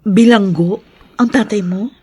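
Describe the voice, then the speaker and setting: Husky, gentle wife. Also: female voice, mid-range voice, a little slow, dry recording. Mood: questioning, concerned. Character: gentle, understanding, loving wife. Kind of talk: Filipino radio drama acting.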